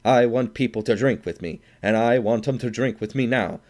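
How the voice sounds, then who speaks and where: A man voicing a burly, drunken Swede who blusters and shouts his demands. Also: low-pitched, steady tone, normal speed, natural voice. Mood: belligerent.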